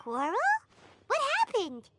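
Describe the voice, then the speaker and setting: A woman voicing a tiny, excitable, naive fairy companion in a video game, talking in exclamations like a small child. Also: high-pitched, a little slow, very noisy. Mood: puzzled. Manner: refers to herself in third person, exclamatory. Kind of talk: video game character acting.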